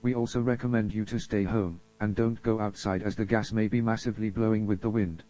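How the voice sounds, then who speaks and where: Low, dark-voiced man, formal emergency announcer. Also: fairly even intonation, a little fast. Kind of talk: scripted emergency broadcast narration. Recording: dull lo-fi audio.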